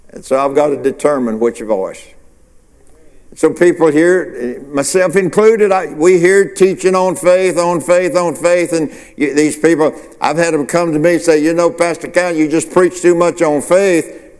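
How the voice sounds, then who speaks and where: Slow preacher in an echoing hall. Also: male voice, fairly high pitch, a little mellow. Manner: preacherly call-and-response, rhetorical questions. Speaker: pastor. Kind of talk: sermon.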